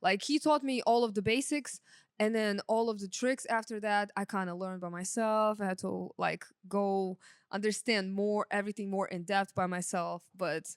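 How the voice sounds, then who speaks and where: A woman's voice: medium pitch, steady tone, normal speed, smooth, no room sound, studio quality. A woman in her thirties from Russia, a native Russian speaker, talking informally and modestly as a podcast guest, with lots of "like" and "you know". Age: 30s. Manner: informal, self-effacing, peppers talk with "like" and "you know". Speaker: podcast guest, sound engineer. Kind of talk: casual chat interview.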